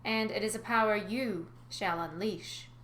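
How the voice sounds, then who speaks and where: A woman's voice: mid-range voice, a little slow, fairly bright tone, natural voice. A woman voicing a wicked, suave male manticore villain from a storybook with a French accent. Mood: gloating. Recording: roomy echo, faint background noise.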